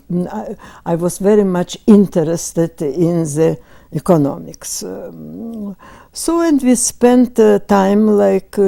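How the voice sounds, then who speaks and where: A woman in her eighties from Lithuania, with a Lithuanian accent, speaking slowly and hesitantly in an oral history interview. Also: fairly low pitch, creaky, dark tone. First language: Lithuanian and Russian. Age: 80s. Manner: slow and hesitant, many pauses and fillers. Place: museum interview room.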